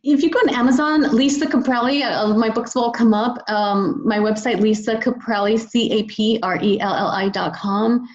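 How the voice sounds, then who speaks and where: A woman's voice: on the high side, moderate pace, hardly any pauses, dull audio. A woman speaking as a podcast guest, reflecting in an earnest, encouraging, conversational way. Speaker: podcast guest, children's book author. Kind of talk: conversational interview, personal reflection.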